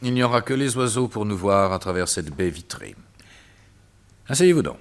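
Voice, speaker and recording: A man's voice: lowish voice, lively intonation, normal speed. An actor playing a cold, vengeful doctor who mocks the detective he has trapped, in a French radio drama. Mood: courteous.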